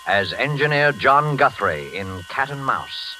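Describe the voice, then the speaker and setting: A man's voice: mid-range voice, very animated, unhurried, poor audio. A man announcing a scripted programme in 1940s radio style. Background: loud music.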